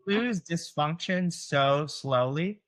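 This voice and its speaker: A man's voice: on the high side, slow pace, fairly bright tone. A man in his forties with a Southern US accent, a chiropractor talking casually on a podcast, full of fillers and acted-out patient quotes. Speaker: chiropractor guest. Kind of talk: explanatory podcast conversation.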